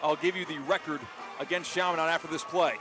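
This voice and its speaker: A man calling live high school football as a radio play-by-play announcer, projecting with emphatic, excitable delivery. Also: fast. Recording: stadium crowd noise behind broadcast commentary. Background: loud outdoor stadium crowd and ambience.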